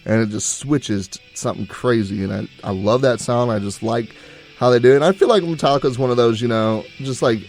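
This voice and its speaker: A young man co-hosting a college radio show, telling stories in a rambling, relaxed way with plenty of fillers. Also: medium pitch, brisk, faint music behind. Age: young adult. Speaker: co-host. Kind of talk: casual chat.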